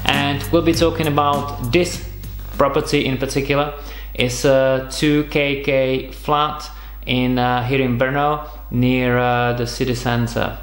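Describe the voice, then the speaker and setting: A man's voice: mid-range voice, flat delivery, unhurried, hardly any pauses, reverberant, faint music behind. A man hosting an interview podcast, asking questions in a casual way with many fillers. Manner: friendly prompting with many fillers. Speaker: podcast host.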